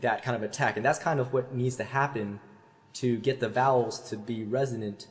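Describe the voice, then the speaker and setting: A man, a voice teacher on a video call through a headset, explaining singing technique with many fillers and pauses. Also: mid-range voice, steady tone. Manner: thoughtful, hesitant with many fillers, demonstrates sung vowels.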